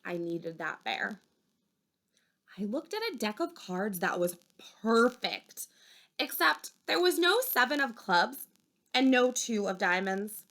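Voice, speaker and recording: A woman reading a children's story aloud expressively, with dramatic pauses and emphasis. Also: on the high side, sharp tone. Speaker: storybook reader.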